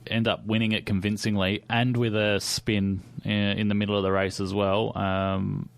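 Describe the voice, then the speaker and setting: Low, flat-voiced radio co-host. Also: male voice, moderate pace, vocal fry, clean tone, dry recording. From Australia. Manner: steady, dry teasing. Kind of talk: casual motorsport chat. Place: radio studio.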